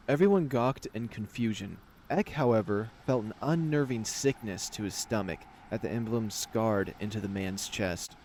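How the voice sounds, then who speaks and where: A man's voice: mid-range voice, a little mellow, a little hiss or hum. A man reading a fantasy visual novel aloud as a dramatic narrator, voicing the story for viewers.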